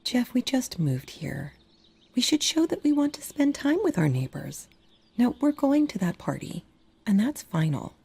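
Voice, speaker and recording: A woman playing a firm, no-nonsense mother in a horror audio drama, with a husky, creaky voice. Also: fairly high pitch, very animated, a little slow, dark tone, full character voice. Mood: firm, scolding.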